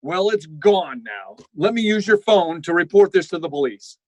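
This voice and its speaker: An actor playing a sardonic doctor in vintage radio comedy, full of dry insults and prone to exasperated sputtering. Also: male voice, high-pitched, lively intonation, normal speed, dry recording. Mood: exasperated, frantic.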